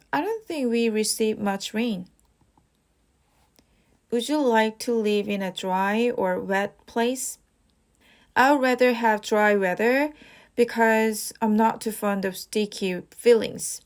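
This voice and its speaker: A young woman speaking English, reading out speaking-test questions and answering each one. She talks slowly and evenly, at a middling pitch, with rehearsed fillers like "well" and "you know.". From South Korea. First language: Korean. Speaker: IELTS practice test speaker. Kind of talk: model answers for a speaking exam.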